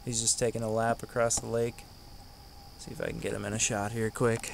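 A man in his thirties hosting a casual fishing vlog, chatting in a relaxed way to an action camera held at arm's length outdoors by a lake. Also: lowish voice, a little fast, vocal fry, slight background noise. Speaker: fishing vlogger.

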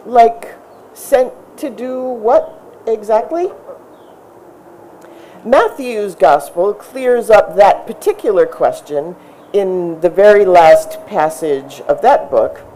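Middle-aged woman pastor, outdoor sermon, slow deliberate delivery, lively pitch, warm dark tone. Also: slight background noise, poor audio. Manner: measured, explanatory preaching with emphatic rises. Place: outdoor tent service in front of a brick church. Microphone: stand mic at a lectern, far camera.

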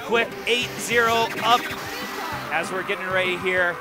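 A man giving live commentary at a video game tournament, excitable and explanatory in manner. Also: high voice, measured pace. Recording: music behind, live event stream. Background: game music.

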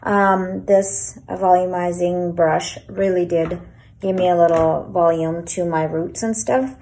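A woman in her thirties chatting casually through a home hair tutorial, with many fillers and a gentle, way of talking, filmed on a propped phone in a small bedroom. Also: fairly low pitch, steady tone, slow pace, slight background noise. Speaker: beauty vlogger. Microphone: phone camera propped low. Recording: echoey small room.

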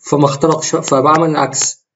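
Egyptian man, tired hoarse voice, quick and rambling, faint background hiss. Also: a little high, level tone, fairly bright tone, dull audio. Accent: Egyptian Arabic. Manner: rambling, self-correcting, explains while trading live. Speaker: trading tutorial host. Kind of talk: screen-recorded trading walkthrough.

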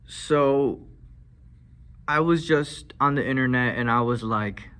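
A young man who stutters, with blocked and repeated sounds and lots of fillers, speaks slowly and softly in a casual vlog. His voice is bright, and he holds a small mic close in an indoor classroom. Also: mid-range voice. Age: young adult. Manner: soft-spoken, frequent fillers. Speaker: vlogger. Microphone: handheld lavalier mic held near mouth.

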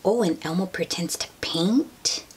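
Slow, low-voiced woman reading to children. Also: slightly rough. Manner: gentle, drawn-out, expressive, addressing a child. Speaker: children's book read-aloud host. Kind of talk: picture-book read-aloud with questions to young children. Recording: steady noise behind the voice.

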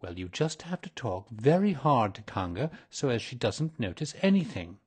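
A man's voice: medium pitch, very animated, normal speed, slightly rough. A man voicing a bossy, scheming rabbit in a storybook reading, coaxing and managing the others.

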